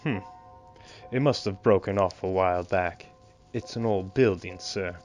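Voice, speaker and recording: Man acting a slow, deep-voiced small-town bus driver. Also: a little mellow, soft background music. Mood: falsely pleasant. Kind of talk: radio play acting.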